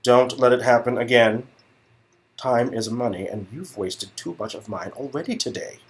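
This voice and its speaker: A man's voice: mid-range voice, moderate pace, natural voice. A man playing an arrogant, impatient talent agency boss in a comic dialogue reading, brusque and sneering. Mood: scornful, impatient.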